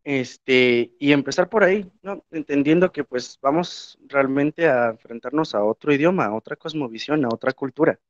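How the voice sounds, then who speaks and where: A man's voice: medium pitch, normal speed, hardly any pauses, dry recording. A young Mexican man, a historian and Nahuatl teacher, speaking reflectively in a panel talk with many filler words. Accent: Mexican Spanish. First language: Spanish. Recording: dry, muffled lo-fi sound.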